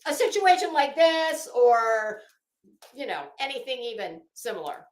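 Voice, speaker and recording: A woman's voice: mid-range voice, echoey room. A woman hosting a casual livestream, chatting to viewers in a rambling, opinionated, emphatic way. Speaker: livestream host.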